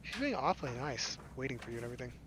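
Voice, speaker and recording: A woman's voice: very deep voice, expressive, very fast, background noise. A woman reading a visual novel aloud as a playthrough host, with flippant, casual asides. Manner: flippant, jokey. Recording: loud game music behind the voice.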